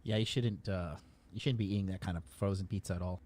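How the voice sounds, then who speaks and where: A man's voice: low-pitched, fast talker, a little mellow. Blunt, profane man lecturing. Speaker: commentator. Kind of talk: casual commentary.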